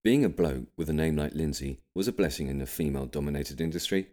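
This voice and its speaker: A British man reading an audiobook, delivering scripted comic narration in an expressive storytelling manner. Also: a bass voice, fast. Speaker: audiobook reader.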